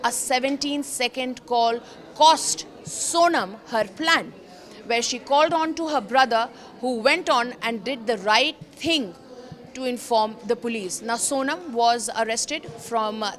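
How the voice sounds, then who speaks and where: High-pitched Indian woman reporting news. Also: a little slow, fairly bright tone. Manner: emphatic, repeats phrases for stress, rhetorical questions. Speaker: news reporter presenting a crime story. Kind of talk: news explainer commentary, semi-scripted.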